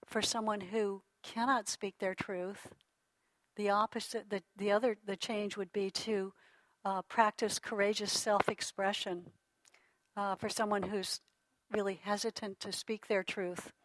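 A middle-aged woman giving a relaxed teaching talk to a class, calm and reflective, often restarting her sentences and laughing softly. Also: medium pitch, unhurried, no echo, low-quality recording. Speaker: lecturer on holistic healing.

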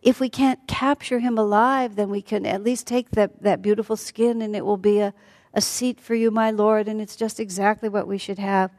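A woman's voice: mid-range voice, unhurried, a little mellow, close to an everyday voice. A woman voicing a young royal wife in a told story, insistent and emotional as she pleads. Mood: coaxing, entranced. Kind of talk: storytelling acted dialogue. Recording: hall with long reverb.

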